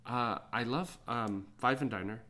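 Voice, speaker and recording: An American man in his early thirties hosting a casual chat, joking and often pausing mid-phrase. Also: mid-range voice, pushed and bright. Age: early 30s. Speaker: podcast host. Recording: faint background ambient noise.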